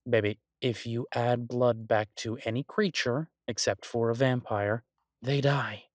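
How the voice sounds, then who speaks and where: A man's voice: medium pitch, steady tone, measured pace, no echo, clean studio sound, lightly acted. A man playing an exasperated yet affectionate chef boyfriend in a roleplay audio, ranting incredulously and conversationally to his partner. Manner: ranting, incredulous, emphatic with repeated words. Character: exasperated but affectionate human boyfriend, restaurant chef for supernatural guests.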